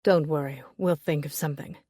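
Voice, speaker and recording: Earnest young gentleman, voiced by a woman, low, hushed, mellow, close and dry. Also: steady tone, brisk, slightly rough, no echo.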